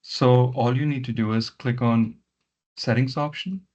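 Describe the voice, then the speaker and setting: Muffled man leading a software walkthrough. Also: mid-range voice, fairly even intonation, unhurried. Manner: instructional, repeats words and instructions. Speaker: software trainer leading a session. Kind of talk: step-by-step online software walkthrough. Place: online meeting call. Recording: muffled lo-fi online meeting audio.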